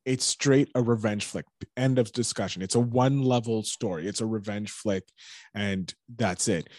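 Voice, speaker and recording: A man's voice: fairly low pitch, moderate pace, darkish tone, no room sound, clean studio sound. A Canadian man chatting as a podcast guest, rambling and enthusiastic, joking as he talks. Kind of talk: casual podcast chat.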